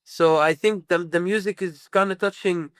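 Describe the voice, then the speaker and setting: A man from Sudan talking as a musician guest, his voice a little high and recorded dry, close to the mic. He rambles through his answers with lots of "you know" and restarts, in a casual interview. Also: steady tone, moderate pace, clear, resonant tone, no echo. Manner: rambling, many fillers and restarts.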